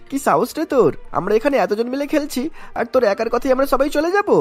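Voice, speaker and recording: A woman's voice: high-pitched, expressive, fairly quick, darkish tone, soft background music. A woman voicing a cocky boy bully, the mocking ringleader of a playground in a cartoon. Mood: mocking, defiant. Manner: taunting, dismissive. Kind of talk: cartoon dialogue acting.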